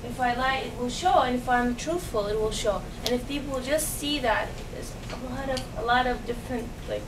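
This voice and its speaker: Young Iraqi woman, English, earnest and halting, slightly high voice; distant camera audio in a noisy, roomy meeting room. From Iraq. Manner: earnest, halting, many restarts and fillers. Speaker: guest speaker. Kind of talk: unscripted talk to a meeting. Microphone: far camera.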